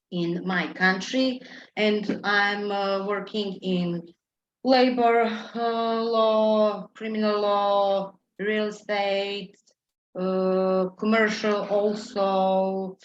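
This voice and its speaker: A woman attorney from Montenegro, introducing herself on a video call in halting English, stopping often to search for words. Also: mid-range voice. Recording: echoey, dull video-call audio.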